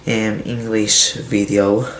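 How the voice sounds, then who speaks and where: A teenage boy from Israel, a native Hebrew speaker, talking in halting English with a Hebrew accent and many fillers while showing his laptop. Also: on the low side, level tone, fairly bright tone. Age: teens. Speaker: tech vlogger. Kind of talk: casual unboxing and review vlog. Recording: roomy echo, faint hiss.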